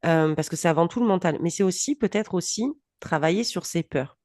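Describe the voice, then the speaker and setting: Woman in her 40s chatting on a podcast, lowish voice, dry close sound, gets excited fast. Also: no room sound. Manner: animated, laughs often, quick bursts. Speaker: podcast co-host, coach. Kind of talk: casual podcast chat.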